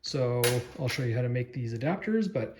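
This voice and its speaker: A man's voice: mid-range voice, expressive, fairly quick, noisy background. A man giving a casual, unscripted how-to explanation in a plain-spoken, blunt manner. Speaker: DIY tutorial presenter.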